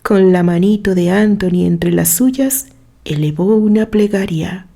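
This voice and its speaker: A woman narrating a scripted story in a gentle, sentimental way. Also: medium pitch, measured pace, creaky.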